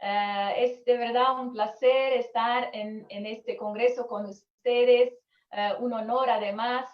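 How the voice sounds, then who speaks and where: A woman's voice: a little high, steady tone, slow, echoey room, highs cut off. A Brazilian woman whose first language is Portuguese, giving an online conference lecture in careful, hesitant second-language Spanish over a video call.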